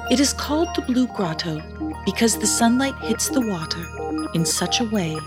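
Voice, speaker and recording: A woman voicing a gentle, calm mermaid in a storybook, with a soothing manner. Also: on the high side, normal speed, music in the background, voice pushed up, natural voice.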